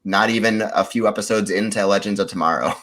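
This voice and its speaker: A man's voice: fairly low pitch, fast, a bit bright. A man chatting casually as a contestant on a podcast. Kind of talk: casual chat.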